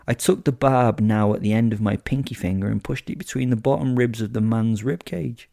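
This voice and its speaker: A man's voice: medium pitch, fairly quick, vocal fry, warm tone, no room sound, clean studio sound, close to an everyday voice. A man voicing a sadistic, gleeful werewolf killer who tells horror audio drama in the first person, with flippant, theatrical asides. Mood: gloating, matter-of-fact. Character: sadistic, gleeful male werewolf serial killer narrating his kills.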